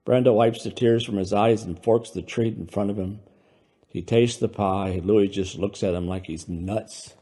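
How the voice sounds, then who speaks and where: Casting: male author-narrator for fiction, deep-leaning pitch, dark and raspy tone. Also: steady tone, normal speed. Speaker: author reading his own story. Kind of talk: scripted storytelling narration.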